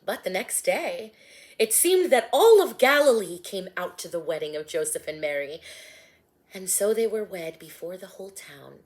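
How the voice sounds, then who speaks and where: A woman narrating her own rhyming storybook in a gentle, lilting way, softening to a hush at line ends. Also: fairly low pitch. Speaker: author and storyteller.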